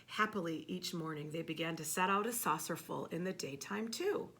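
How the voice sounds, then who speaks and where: A woman reading a children's story aloud expressively and emphatically, pausing to ask a child questions. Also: lowish voice. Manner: expressive, emphatic, with repeated words for effect.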